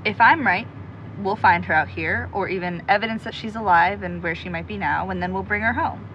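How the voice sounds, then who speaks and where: A woman's voice: medium pitch, a little fast, dull audio, close to an everyday voice. A woman playing an optimistic young searcher in an audio drama, upbeat and reassuring in a naturalistic style. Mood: upbeat, persuasive. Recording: clear background noise.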